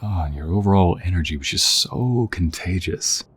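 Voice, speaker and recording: Man acting a deep, husky young soldier, affectionate. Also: expressive, normal speed, full character voice. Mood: warm, reminiscing. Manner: gentle, affectionate, hesitant, chuckling conversational delivery. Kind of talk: intimate audio roleplay acting.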